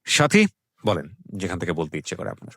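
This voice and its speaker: Low-voiced male radio host, close dry sound. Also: sing-song, brisk, fairly bright tone, no echo. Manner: reflective, articulate, mixes in English words. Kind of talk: radio show introduction and interviewing.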